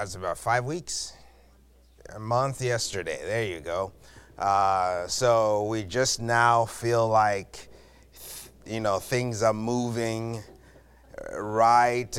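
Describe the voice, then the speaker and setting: Slow, halting missionary man. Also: on the low side, fairly bright tone, smooth. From Zambia. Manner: halting, with frequent uh fillers. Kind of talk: church greeting and testimony.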